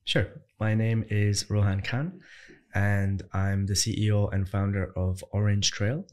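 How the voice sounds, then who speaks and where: Emphatic low-voiced man from Ireland. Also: level tone, unhurried, darkish tone, smooth. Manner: emphatic, rising into punchy rhetorical questions. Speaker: entrepreneur guest. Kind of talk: conversational interview answers.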